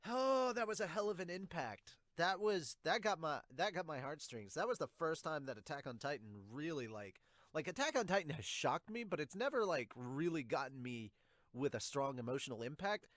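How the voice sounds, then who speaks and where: A man's voice: a little high, expressive, normal speed, a bit bright, no room sound, clean studio sound. A young man giving casual reaction commentary, rambling and thinking aloud as he goes. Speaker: podcast host reviewing manga. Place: small indoor room with bookshelves. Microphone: close studio condenser with pop filter.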